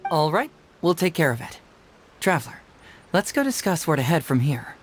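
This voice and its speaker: A man's voice: a little high, sing-song, normal speed. A man playing a free-spirited young male detective in a video game, talking in a casual, sly, self-assured way. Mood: confident. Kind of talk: English video game voice acting. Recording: faint background music.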